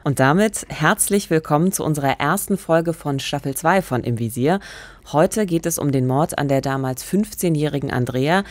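Low-voiced woman podcast host. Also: animated, moderate pace, dry recording. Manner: reflective, musing aloud. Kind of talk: scripted intro and thoughtful podcast chat. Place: radio studio. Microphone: large studio mic on a boom, headphones.

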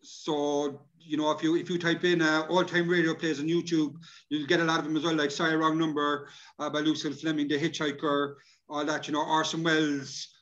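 A man with an Irish accent presenting informally at an online workshop in a casual, matey, halting way. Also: on the high side, flat delivery, a bit bright. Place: indoor room, on a video call. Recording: dull lo-fi video call.

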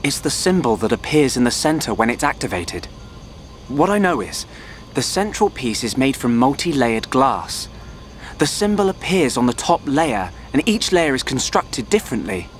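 An actor playing an earnest, thoughtful teenage researcher in a video game cutscene. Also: male voice, mid-range voice, very animated, moderate pace, slight background noise, close to an everyday voice.

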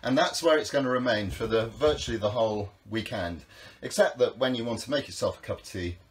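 A man's voice: medium pitch, fairly quick, slight background noise. A man in his fifties, a sailing skipper, casually walking through a small yacht and explaining each step in a practical, friendly way, filmed on a handheld phone. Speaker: sailing school skipper giving a boat tour. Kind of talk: friendly walkthrough demonstration. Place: on and inside a small sailing yacht, outdoors then in the cabin. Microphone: handheld phone camera.

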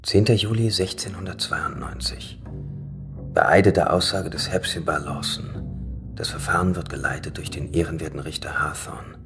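A solemn reader of old court records in a radio play. The voice is low and crackles with fry, and clear music plays behind it. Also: male voice, fairly even intonation, moderate pace. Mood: ominous. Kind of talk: formal dramatic reading in audio drama.

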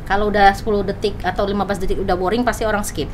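A woman, chatting casually as a podcast guest and telling things in an animated, expressive way. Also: medium pitch, rapid-fire, background noise. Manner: animated storytelling with sound words and acted quotes. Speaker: content creator, interview guest. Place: small podcast studio, indoors. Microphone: boom-arm studio microphone, headphones on.

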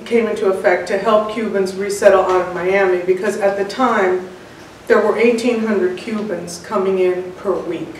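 A woman's voice: medium pitch, steady tone, moderate pace, hardly any pauses. Reflective older woman in a very echoey room. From United States, born in Cuba. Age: 60s. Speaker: interviewed home cook and author. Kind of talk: personal storytelling in an interview. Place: indoors, bright home room with large windows. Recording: very echoey room, distant mic, faint noise.

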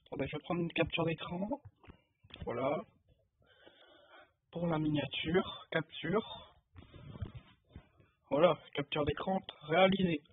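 A man's voice: fairly high pitch, fairly even intonation, takes it slowly, pauses often. A man giving casual gameplay commentary as a video host, in sparse, offhand remarks to himself. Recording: muffled phone-like sound, clear noise, clicks.

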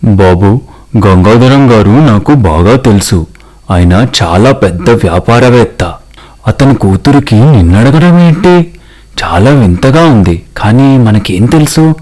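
A man's voice: mid-range voice, expressive, measured pace, darkish tone. A man voicing a polite, principled man from a poor family in a cartoon story, respectful and sincere. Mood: puzzled.

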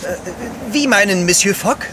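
An actor playing a fussy, long-suffering French manservant in an audio drama, polite but drily sarcastic. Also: male voice, high voice, lively intonation, a little slow, noisy background. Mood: politely puzzled. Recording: clear outdoor ship ambience behind.

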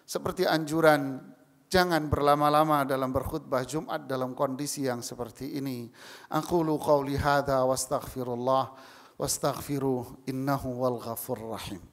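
A man in his forties giving a Friday sermon from a mosque pulpit, with emphatic rising calls to the congregation and slow chanted Arabic. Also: medium pitch, steady tone. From Indonesia. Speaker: preacher. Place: large mosque hall, indoors. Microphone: stand mic at a pulpit. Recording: long mosque hall reverb.

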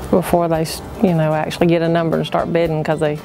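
A woman's voice: deep voice, completely flat delivery, fast, vocal fry, rough recording. A woman with a Southern US accent talking plainly in a documentary interview about working in a family business. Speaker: family auction worker. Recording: music playing behind the voice. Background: background music.

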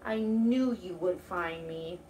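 A woman voicing a lonely little male polar bear cub in a storybook, speaking softly and wistfully. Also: on the low side, takes it slowly, pitched below the performer's own voice. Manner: soft, wistful. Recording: distant mic, faint background noise.

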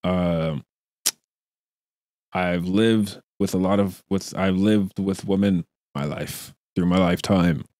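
A man's voice: deep voice, steady tone, takes it slowly, darkish tone, no room sound, clean studio sound. A young man streaming himself reacting to a video, joking and teasing in casual commentary with mock apologies, talking into a desk mic with a headset in a room at home. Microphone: desk mic on a boom arm with headset.

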